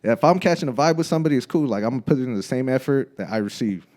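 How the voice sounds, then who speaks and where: A man's voice: medium pitch, lively intonation, rapid-fire, darkish tone. A man in his thirties co-hosting a podcast, chatting casually in a laid-back, slangy way, often saying bro and laughing. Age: 30s. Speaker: podcast co-host. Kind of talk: casual chat.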